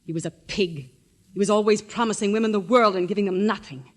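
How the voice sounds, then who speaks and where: A woman's voice: medium pitch, brisk, lightly acted. An actress playing a haughty, bitter scorned woman in a 1940s radio drama, with a curt manner.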